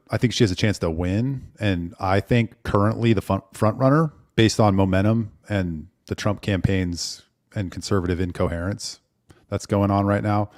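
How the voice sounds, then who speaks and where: A man co-hosting a casual political podcast, talking through poll figures in a measured way. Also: lowish voice, a little mellow, no room sound, clean studio sound. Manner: measured, reciting poll numbers. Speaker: podcast co-host.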